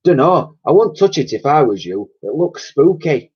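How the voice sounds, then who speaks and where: A man's voice: fairly high pitch, very animated, moderate pace, slightly rough, voice pushed up. A man voicing a frightened old female cleaner with a blunt, slangy way of talking. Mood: wary. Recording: dull lo-fi recording.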